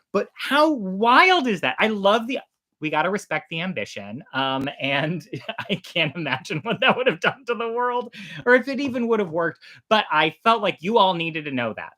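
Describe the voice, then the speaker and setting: An androgynous-sounding storytelling host with a very lively, swinging intonation. The delivery is casual and enthusiastic, explaining things conversationally and leaning on words for emphasis. The pitch sits in a middle register but moves around a great deal.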